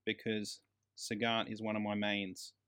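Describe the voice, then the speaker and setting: A man's voice: lowish voice, completely flat delivery, measured pace, fairly bright tone, clean tone. Sweary, emphatic man. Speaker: podcast co-host. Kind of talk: casual film review chat.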